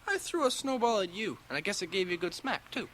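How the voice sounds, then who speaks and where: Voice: mid-range voice, very animated, quick pace, fairly bright tone, rough recording. A performer playing a cocky, bullying small-town schoolboy with a brash, taunting manner in a radio drama. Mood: defiant. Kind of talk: 1980 radio drama acting.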